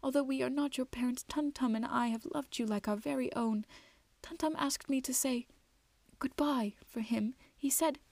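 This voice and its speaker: A woman voicing an anxious, loving wood troll mother in a soft, tremulous, breathy voice for a storybook. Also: high-pitched, moderate pace, no room sound. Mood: grieving, tender.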